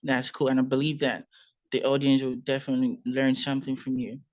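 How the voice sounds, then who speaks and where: A male podcast host with a middle-pitched voice. He speaks encouragingly and lavishes praise on his guest before moving on to the next question. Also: completely flat delivery, fairly quick. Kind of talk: interview hosting.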